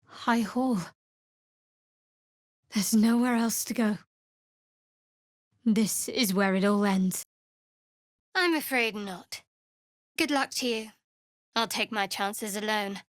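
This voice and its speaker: A woman playing a guarded, sardonic young cleric of a dark goddess in a video game, with a dry, wry delivery. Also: mid-range voice, slow pace, pauses often, no echo, close to an everyday voice. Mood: dry, curious. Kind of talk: dramatic video game voice acting. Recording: very dry close studio recording.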